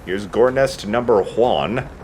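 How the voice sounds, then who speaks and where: A man's voice: lowish voice, takes it slowly, darkish tone, slight background noise, low-quality recording. A man giving casual, joking commentary while he plays a video game. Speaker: let's play host playing the game.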